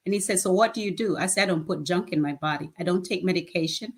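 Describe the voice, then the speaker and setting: A woman's voice: lowish voice, fast talker, breathy edge. A woman in her fifties answering interview questions conversationally, in a plain-spoken way with short emphatic statements. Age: 50s. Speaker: guest, children's charity founder.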